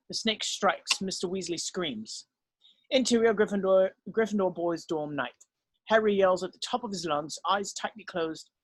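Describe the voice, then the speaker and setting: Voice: mid-range voice, fairly bright tone, no echo, low-quality recording. Dry-sounding narrator reading stage directions. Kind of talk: scripted narration, screenplay table read.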